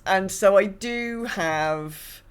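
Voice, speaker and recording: High-voiced man chatting casually. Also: extremely slow, fairly bright tone, smooth. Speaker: podcast guest. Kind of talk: casual gaming podcast chat.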